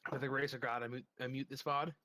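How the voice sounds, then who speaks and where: A man acts as game master for a tabletop game, needling his players with pedantic jokes and casual swearing. His voice is bright and forceful. The sound is dry and close, with no room around it. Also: mid-range voice, level tone, fast talker, no echo. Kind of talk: running a tabletop game over voice chat.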